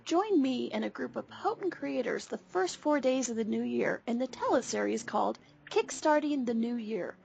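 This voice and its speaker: Woman doing a radio ad, high voice with gravel in it, dull lo-fi sound. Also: expressive, normal speed. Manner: bright, persuasive pitch. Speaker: ad voice, workshop leader. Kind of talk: scripted radio ad. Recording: lo-fi ad with faint guitar.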